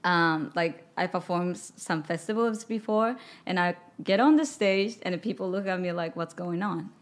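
A woman in her thirties from Japan with a Japanese accent, speaking English as a second language in an interview and often pausing to search for words. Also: fairly low pitch. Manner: halting, searching for words, soft-spoken. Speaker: guest singer. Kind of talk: casual interview chat. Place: studio with record-covered wall. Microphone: studio microphone on boom arm.

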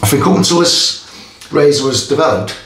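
A man's voice: on the high side, lively intonation, a bit bright. Chatty Geordie man in an echoey bathroom. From Newcastle area, England. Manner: chatty, stop-start, pauses between phrases. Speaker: shaving vlogger. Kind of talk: casual chat while shaving. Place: small tiled bathroom. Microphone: camera in front of face. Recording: echoey bathroom, faint noise.

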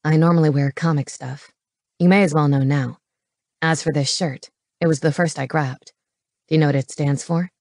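A woman voicing a gruff, brainy man in an audiobook, speaking in a terse, matter-of-fact and intense way. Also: sits low, steady tone, moderate pace, darkish tone, no echo, studio quality, voice pushed down. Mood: explanatory. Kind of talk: audiobook character dialogue.

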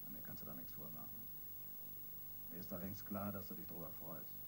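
Sardonic police detective, faint noise. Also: male voice, a bass voice, lively intonation, fairly quick. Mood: needling, sardonic. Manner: colloquial, sardonic. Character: wry, needling, self-assured male police detective. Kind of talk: German radio crime drama acting. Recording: radio play with faint noise.

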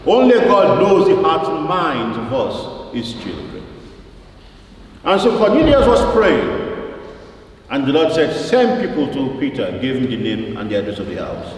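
A man's voice: fairly high pitch, big pitch swings. Man preaching in a very echoey church. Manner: emphatic, deliberate, repeats phrases for weight; questions the listeners directly. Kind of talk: sermon with scripture quotation and rhetorical questions. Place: large reverberant church, indoors. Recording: very echoey church, distant mic, faint noise.

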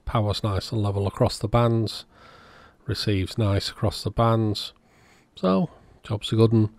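A man's voice: fairly low pitch, flat delivery, unhurried, a little mellow, dry recording. A man giving a casual, matter-of-fact workbench narration as the host of an electronics repair video.

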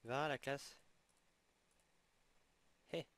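Young male gamer with a sniffly cold, chatty play-by-play, mid-pitch voice. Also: drawn-out words, fairly bright tone. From French-speaking. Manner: chatty, exclaiming at in-game rewards. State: has a head cold, sniffling. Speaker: gaming channel host. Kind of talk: casual game commentary.

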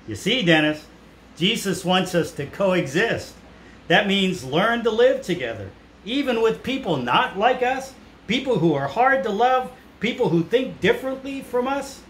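A middle-aged man with a slightly high voice talks to children as a pastor, explaining simply. The room is echoey, with faint noise. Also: big pitch swings, unhurried, fairly bright tone. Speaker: pastor hosting a children's puppet show. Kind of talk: children's ministry talk. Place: indoors in a small room. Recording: roomy echo with some background noise.

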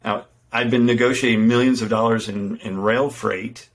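A man's voice: medium pitch, steady tone, normal speed. A middle-aged man, a podcast guest, telling his business story in a casual, earnest and self-deprecating way with frequent restarts. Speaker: podcast guest, fitness entrepreneur. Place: home room with window, indoors. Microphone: studio microphone in front.

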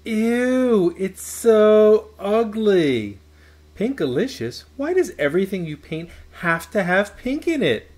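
Mean schoolgirl for a picture book, read by a man: snooty, scornful, emphatic, swooping pitch. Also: sing-song, slow pace, a little mellow, exaggerated voice. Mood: disgusted. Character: snooty, taunting schoolgirls who scorn pink.

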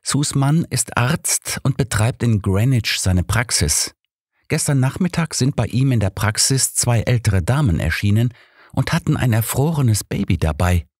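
Audiobook role: lively, friendly younger woman, outspoken and animated, pitched up by a male reader. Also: unhurried, slightly rough, no echo, studio quality. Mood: earnest, concerned. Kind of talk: audiobook character voice.

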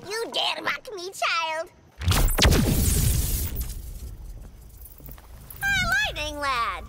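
A woman's voice: extremely high pitch, big pitch swings, a little slow, gravelly, very noisy, full character voice. An actress playing a cheeky, brash young female supervillain in a video game, with a New York accent and sassy, slangy, theatrical delivery. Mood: mocking. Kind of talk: video game character acting. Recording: loud game ambience and effects behind.

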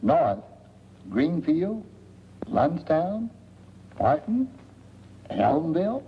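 A folksy, blunt small-town busybody in an old 1940s film. His voice runs high and swings widely, with faint hiss on a dull soundtrack. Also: big pitch swings, extremely slow, full character voice. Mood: blunt, needling. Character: nosy, haggling male small-town lodger. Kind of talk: 1940s film comic acting.

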